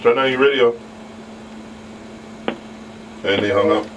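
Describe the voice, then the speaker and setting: A man's voice: medium pitch, fairly even intonation, fast, highs cut off. A man co-hosting a radio show with casual banter, jumping in and repeating himself for emphasis. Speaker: radio co-host. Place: radio studio. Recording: noisy room, distant from mic.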